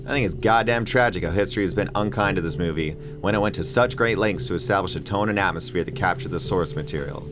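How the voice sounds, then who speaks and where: Low-voiced man giving opinionated video essay commentary. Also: fast, hardly any pauses. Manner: opinionated, emphatic, casual swearing. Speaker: video essay host and film critic. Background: music bed under voice-over.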